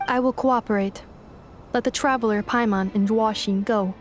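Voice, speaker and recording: A woman voicing a calm, selfless female robot in a video game, speaking in an even, formal manner. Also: fairly high pitch, moderate pace, close to an everyday voice. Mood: calm resolve.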